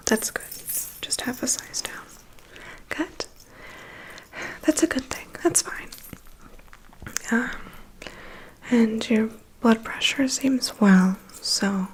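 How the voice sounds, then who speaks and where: A woman performing an ASMR personal-attention roleplay as a vampire's assistant, whispering in a soft, gently attentive and reassuring manner. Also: fairly high pitch, low-quality recording. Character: vampire's assistant doing a gentle, methodical medical inspection.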